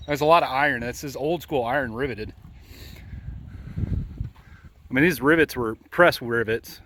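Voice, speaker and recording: A man's voice: medium pitch, unhurried, a bit bright, a little hiss or hum. A man in his forties with a Midwestern American accent, chatting casually as a vlogger outdoors. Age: 40s. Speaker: vlogger interviewing a friend. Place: outdoors at an old rural bridge.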